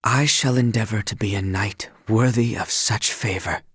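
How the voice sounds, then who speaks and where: A man's voice: fairly low pitch, moderate pace, fairly bright tone, husky, dry recording, clean studio sound. A man voicing an earnest, dutiful young royal knight in a video game, with courtly, precise, old-fashioned diction. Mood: earnest, composed. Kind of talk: video game character voice lines.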